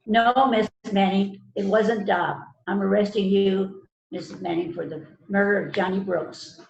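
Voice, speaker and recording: A woman's voice: mid-range voice, fairly even intonation, creaky. A woman playing a stern police detective in an amateur mystery reading, stumbling and repeating words as she reads. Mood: accusing. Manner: halting read-aloud delivery with stumbles and repeats. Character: stern female police detective questioning suspects. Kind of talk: amateur readers theater mystery. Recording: echoey, muffled, noisy online call.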